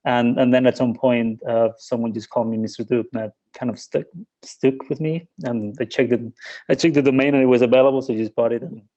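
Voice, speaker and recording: A man's voice: medium pitch, fairly even intonation, a little fast, warm tone, dull audio. A man from Spain, a guest in an interview, talking casually with many fillers and restarts.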